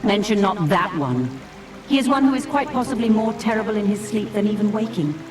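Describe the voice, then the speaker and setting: A woman plays an enigmatic female dragon who rules over dreams in a fantasy game. She speaks at a medium pitch in a measured, solemn and distant way. Music is clearly heard underneath. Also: expressive, natural voice. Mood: cool, cautioning. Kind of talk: fantasy game cutscene acting.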